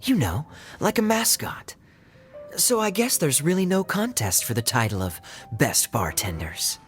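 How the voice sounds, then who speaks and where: A man voicing a playful, smooth-talking young male knight who loves to tease. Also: fairly high pitch, sing-song, measured pace. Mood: sly. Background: faint background music.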